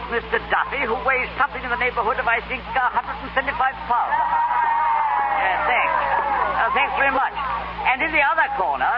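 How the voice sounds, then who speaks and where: An actor playing a cheerful, silly upper-class gentleman with a plummy British accent and dithering, exclamatory manner, in 1930s radio style. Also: very high-pitched. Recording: noisy, dull 1930s radio, crowd behind.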